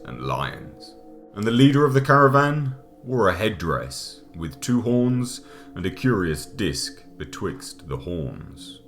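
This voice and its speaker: Very deep-voiced man narrating a gothic tale. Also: a bit bright. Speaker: audiobook narrator. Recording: music behind the voice. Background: background music.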